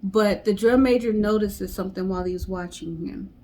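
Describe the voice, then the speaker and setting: A woman's voice: mid-range voice, moderate pace, a little mellow. A woman co-hosting and retelling a story in a casual storytelling style. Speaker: co-host.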